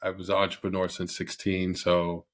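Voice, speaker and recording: A man's voice: deep voice, monotone, moderate pace, a little hiss or hum. A middle-aged man from Barbados, a company head as podcast guest, talking casually with many fillers and restarts. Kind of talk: casual interview.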